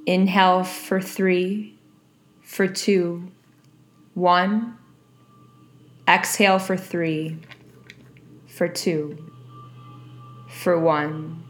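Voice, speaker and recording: A woman leading a guided meditation, giving calm instructions with long pauses. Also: lowish voice, faint music behind.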